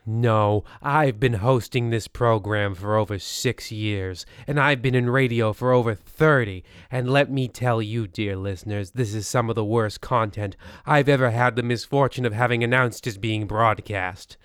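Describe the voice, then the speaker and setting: A man's voice: mid-range voice, moderate pace, few pauses, a bit bright, smooth, no echo, studio quality, lightly acted. A man playing a pompous, disdainful veteran host of a radio horror show, speaking in a theatrical monologue. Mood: indignant.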